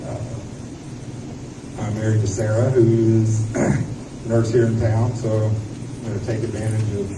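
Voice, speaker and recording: A middle-aged man introducing himself as a candidate, hesitant and halting with many fillers. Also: lowish voice, flat delivery. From Oklahoma. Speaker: council candidate. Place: small meeting room. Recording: roomy, distant, noisy.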